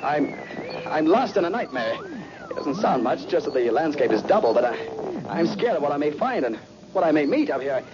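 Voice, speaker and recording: An actor playing a lone cosmonaut losing his mind in terror, halting and stammering, in 1960s radio horror style. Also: a little high, big pitch swings, background noise. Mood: desolate dread. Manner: halting, stammering, repeating himself. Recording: old muffled radio recording, music behind.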